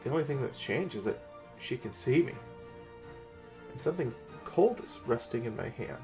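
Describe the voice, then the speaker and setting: Brief: male host and game master, dramatic fantasy narration, mid-pitched, deliberate pauses, music underneath. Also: expressive. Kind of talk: dramatic reading and channel presenting.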